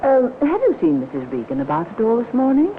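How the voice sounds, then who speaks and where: A genteel, inquisitive lady in an old radio play. Her pitch swoops up and down as she politely probes and flutters. The sound is thin and faintly hissy, like an old broadcast. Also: wildly swooping pitch, brisk, phone-quality sound. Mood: fluttery. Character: genteel, prying older lady hotel guest. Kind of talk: 1950s radio acting.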